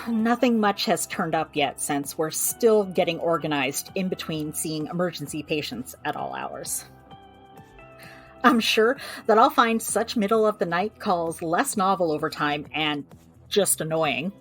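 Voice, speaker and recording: A woman's voice: fairly low pitch, expressive, a little slow, faint music behind. An actress playing a chatty, wry young American woman who runs a magical family clinic, in an audio drama. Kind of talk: audio drama, recording a personal log.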